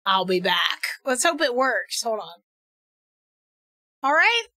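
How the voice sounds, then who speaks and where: Excitable young woman streamer, losing her voice. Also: on the high side, slow, no room sound, studio quality. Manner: excitable, bursts into laughter and shouting. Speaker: game streamer. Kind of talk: casual live-stream chat while gaming.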